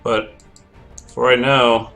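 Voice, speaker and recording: A man's voice: medium pitch, little pitch movement, takes it slowly, gravelly, fairly bright tone, faint music behind. A man streaming a video game, chatting casually and rambling with many self-corrections, his voice cracking from a cold. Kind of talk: casual gameplay commentary.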